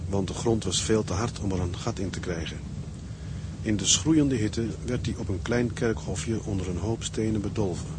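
An actor playing a young ship's officer, a devoted and plain-spoken husband, in a radio drama. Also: male voice, on the low side, close to an everyday voice. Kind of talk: radio drama acting with letter narration. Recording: noisy drama track with ambient sound.

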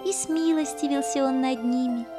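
A woman playing a humble little water droplet, a gentle heavenly messenger in a children's fairy-tale audio play, speaking in a solemn singsong. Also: high voice, natural voice. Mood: reverent. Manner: slow, solemn, singsong delivery of verse. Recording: music behind the voice.

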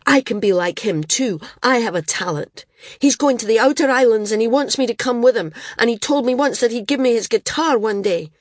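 A woman voicing a tearful, defiant young boy who shouts in raw, pleading bursts. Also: a little high, lively intonation, a little fast, no room sound, studio quality, voice pushed up.

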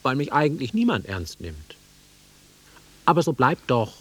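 A man's voice: mid-range voice, sing-song, moderate pace, fairly bright tone, husky. An actor playing an awkward, sentimental middle-aged man in a German radio drama, self-deprecating and swinging between murmurs and outbursts. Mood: tender, sheepish.

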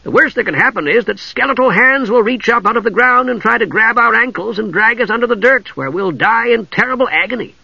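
A man's voice: very high-pitched, a little fast, gravelly, hardly any pauses, pushed and bright, breathy edge, no room sound, highs cut off, voice pushed up, heavily acted. A man voicing a cheerful, fearless little Kender adventurer in a storybook, chattering eagerly and rambling on.

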